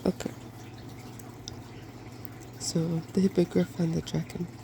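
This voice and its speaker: A softspoken ASMR host reading a fairy tale from a storybook in a gentle, quiet way. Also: mid-range voice, little pitch movement, slow pace. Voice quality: soft-spoken. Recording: clearly noisy background.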